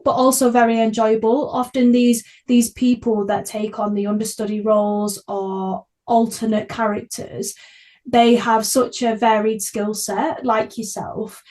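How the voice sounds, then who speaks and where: A woman's voice: fairly high pitch, a little mellow. A woman hosting a podcast, chatting casually in an earnest, effusive way. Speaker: podcast host, actor. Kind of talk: casual interview chat.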